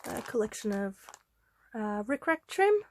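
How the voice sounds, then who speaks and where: A woman's voice: on the high side, sing-song, a little mellow, slight background noise. A woman, a little congested from a head cold, casually showing craft supplies with plenty of ums and uhs. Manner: chatty, unhurried, with frequent ums and uhs. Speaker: craft vlogger. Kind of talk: casual show-and-tell vlog.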